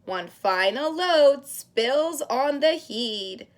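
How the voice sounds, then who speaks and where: Slow, high, animated woman reading a storybook. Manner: expressive, sing-song, with drawn-out words, gasps and hushes. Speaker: daycare teacher reading a picture book to young children. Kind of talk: animated read-aloud of a children's storybook.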